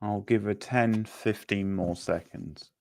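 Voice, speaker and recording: A man casually hosting a live quiz, reading out questions, options and scores in a halting way. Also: fairly low pitch, fairly even intonation, creaky, darkish tone, dry recording, clean studio sound. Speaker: quiz host.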